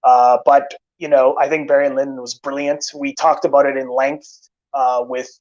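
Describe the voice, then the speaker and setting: Tired film-channel host, rambling casual chat full of fillers, mid-pitched man's voice, a bit dull and lo-fi. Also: little pitch movement, normal speed, a little mellow. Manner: rambling, many "uh" fillers and restarts. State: tired, losing his voice. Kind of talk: casual live-stream chat.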